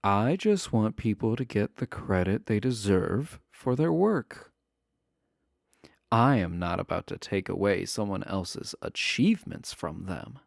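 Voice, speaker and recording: A man acts out a charming villain behind bars for an intimate audio roleplay. His voice is lowish and gravelly with plenty of fry, and he draws his words out slowly. He comes across sly, coy and flirtatious. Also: no echo, studio quality. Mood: coy, evasive. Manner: coy, flirtatious, drawn-out casual delivery. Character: charming, teasing male villain prisoner, adorkable and sly.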